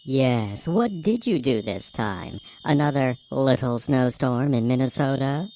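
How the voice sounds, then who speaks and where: A man's voice: medium pitch, lively intonation, unhurried, dark tone, slight background noise. A man playing a snooty, bored water molecule in a radio play, haughty and drawling. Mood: condescending.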